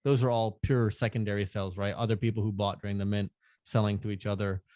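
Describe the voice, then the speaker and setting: A man talking as a podcast guest in casual chat, relaxed, laughing often and restarting sentences. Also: on the low side, fast, dry recording. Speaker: podcast guest, NFT artist.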